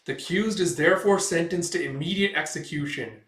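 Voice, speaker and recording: A man's voice: a little high, a little fast, a bit bright, voice pushed up, lightly acted. A man voicing a stern court herald who proclaims formally, recorded in a roomy space from a distant mic. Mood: proclaiming. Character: stern male court herald reading a verdict.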